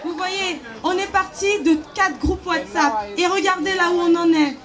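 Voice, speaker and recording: Very high woman addressing a loud crowd. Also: normal speed, very noisy. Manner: rousing, indignant. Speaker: student protest speaker. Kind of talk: speech to a rally crowd. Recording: distant, echoing, loud crowd.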